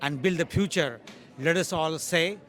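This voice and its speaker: Higher-pitched man with an Indian accent. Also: moderate pace, a bit bright, soft background music. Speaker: community guest giving a testimonial to camera. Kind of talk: formal celebratory event remarks.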